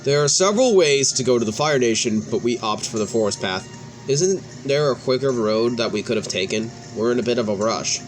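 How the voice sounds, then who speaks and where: A man's voice: medium pitch, animated, brisk, a little hiss or hum. A man hosting a let's play, reading game cutscene dialogue aloud and sometimes stumbling and restarting words as he goes. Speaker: gaming video host. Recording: background music behind the voice. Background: music.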